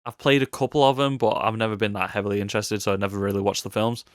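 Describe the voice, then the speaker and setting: A man's voice: lowish voice, very fast, smooth, dry recording. Dry-sounding male podcast co-host, offhand and wry. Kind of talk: casual film discussion podcast.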